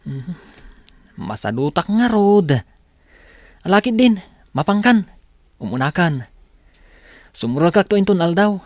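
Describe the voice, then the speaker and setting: A man's voice: high voice, big pitch swings, unhurried, long pauses, no echo, clean studio sound. A man with an Ilocano accent playing an earnest, respectful teenage boy in a radio drama. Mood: evasive, casual.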